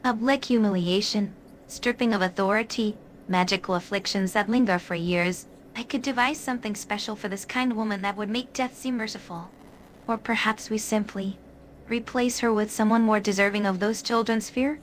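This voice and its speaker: A sly, seductive female dark creature from a fantasy game, voiced by a woman, speaks at a middling pitch. She is ancient, cruel and elegantly teasing. Faint game ambience sits behind her. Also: normal speed, a little hiss or hum, natural voice. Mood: sly, sinister. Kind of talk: in-character game companion dialogue.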